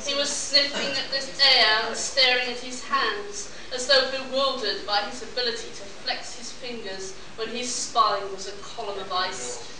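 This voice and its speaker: A woman's voice: fairly high pitch, steady tone, normal speed, few pauses. A woman reading scripted pulp science fiction narration for a live radio play. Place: convention hall before an audience. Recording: echoey hall, far off, audience noise.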